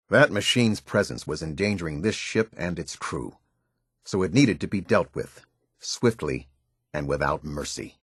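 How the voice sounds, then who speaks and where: An actor playing a stern, authoritative military captain in a video game, middle-aged, speaking formally with an American accent in clipped, measured orders. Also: male voice, low-pitched, husky, no room sound, studio quality, heavily acted. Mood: grave, firm. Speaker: military captain giving briefings. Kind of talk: scripted video game dialogue.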